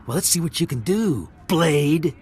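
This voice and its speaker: A man's voice: a little high, animated, a little slow, a little mellow, soft background music. A man playing a sly small-time blackmailer in a video game, mock-friendly and needling. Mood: smug, threatening.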